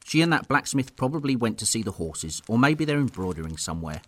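A man's voice: mid-range voice, big pitch swings, fast talker. A man playing a dismissive, self-assured middle-aged noble father in an audio drama.

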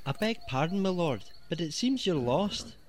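An actor playing a sly, mocking highwayman, taunting with false courtesy in an audio drama. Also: big pitch swings, moderate pace, slight background noise. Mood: mock-polite. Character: sly, mocking male highwayman lurking in moorland fog.

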